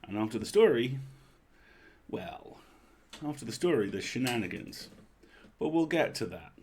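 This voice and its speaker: A man's voice: mid-range voice, sing-song, normal speed, darkish tone, a little hiss or hum. A man hosting a livestream in casual chat, talking in an informal, welcoming and chatty way. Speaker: livestream host and story reader.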